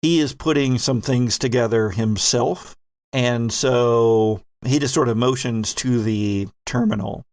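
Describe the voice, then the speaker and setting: A man's voice: medium pitch, measured pace, a little mellow, dry recording. A man hosting as game master, narrating an improvised tabletop roleplaying game. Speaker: game master and podcast host.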